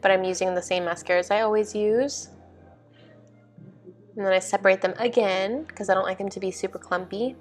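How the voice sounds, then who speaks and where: A young woman talks through getting ready. Her voice sits at an average pitch and her delivery is casual and chatty. Music plays behind her. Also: fairly even intonation, fairly quick. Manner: informal, chatty, frequent "like". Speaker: vlog host. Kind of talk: casual get-ready-with-me makeup narration.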